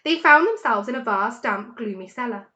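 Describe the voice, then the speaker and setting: A woman narrating a children's story in an expressive, dramatic storytelling manner. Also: on the high side, normal speed, muffled recording. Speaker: story reader.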